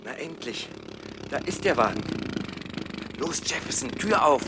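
Amid clear background noise and clicks, a man plays a gruff burglar directing his gang in an old radio play. His delivery is slow, with wide swings in pitch. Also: lively intonation, lightly acted. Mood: hurried, gruff. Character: gruff safecracker hurriedly ordering his crew about. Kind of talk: 1960s German radio play acting. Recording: noisy, off-mic action scene with clicks.

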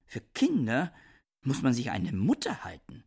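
A pedantic, reclusive scholar, dry and measured, his voice gravelly and rough-edged. He sits at an everyday middle pitch. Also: huge pitch swings, a little mellow, exaggerated voice. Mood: disdainful musing. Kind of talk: radio drama acting.

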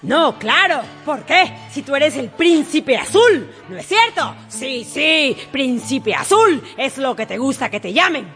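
A woman's voice: high voice, big pitch swings, fairly bright tone, heavily acted. An actress playing a haggard, mocking woman of an opium den in a radio drama, drawing out her taunts. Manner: mocking, drawn-out delivery. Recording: reverberant hall sound over music.

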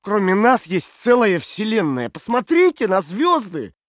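Defiant prisoner, high-pitched man. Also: sing-song, a little slow, no room sound, clean studio sound. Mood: pleading, argumentative. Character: desperate, defiant male prisoner, weakened but idealistic. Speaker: actor in an audio play. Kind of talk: dramatic audio play dialogue.

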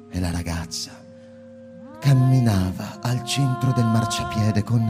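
An actor playing a troubled fireman who narrates and speaks in a measured, grave way in a radio drama. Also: on the low side, close to an everyday voice. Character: troubled fireman narrator, adult man, tense and searching.

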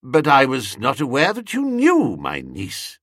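Casting: male reader as pompous older magistrate uncle, stiff and spluttering, husky, wide-swinging delivery, comic storybook. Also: medium pitch, wildly swooping pitch, measured pace, fairly bright tone, no echo, clean studio sound, exaggerated voice. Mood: aghast, incredulous. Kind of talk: comic audiobook character.

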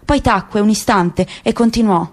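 A woman narrating a literary story for radio, slow and lingering with dramatic pauses. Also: medium pitch, darkish tone.

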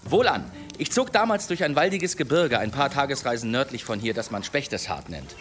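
An actor playing a boastful, theatrical demon hunter who spins tall tales with grand relish in a German historical audio drama. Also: male voice, mid-range voice, big pitch swings, fairly quick, fairly bright tone, faint music behind. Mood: relishing, dramatic.

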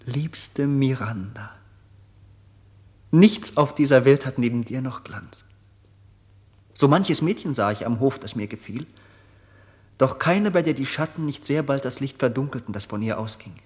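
An actor playing a noble young prince deeply in love in a German radio drama, lofty and fervent in manner. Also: male voice, expressive, measured pace, pauses often, a little mellow, husky. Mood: tender wooing. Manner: lofty, solemn, fervent declarations.

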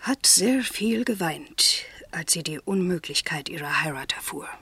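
A woman playing a discreet, soft-spoken English miss acting as go-between in a 1970s German radio play, with hushed, halting phrasing. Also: fairly low pitch, animated, no room sound, exaggerated voice. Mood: cautious. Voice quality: breathy, hushed.

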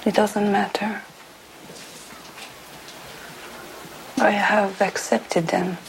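An actress playing a haunted, resigned young woman prisoner in a film drama, speaking haltingly and dropping toward whispers. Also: mid-range voice, level tone, gravelly, slight background noise, poor audio. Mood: resigned, fearful.

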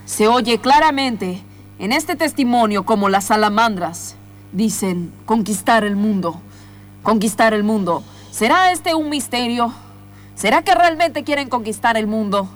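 A woman's voice: on the high side, natural voice. A woman playing a theatrical, suspenseful host of a paranormal mysteries show in improvised radio comedy. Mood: mysterious, suspenseful. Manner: theatrical, suspenseful, rhetorical repetition. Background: music bed.

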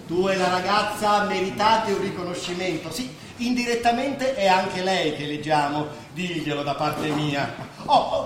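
An actor playing an officious, self-important lawyer who speaks ceremoniously, as if presiding, in a stage play. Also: male voice, high-pitched. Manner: ceremonious, calling for order. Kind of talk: theatrical stage acting. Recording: very echoey hall, faint noise.

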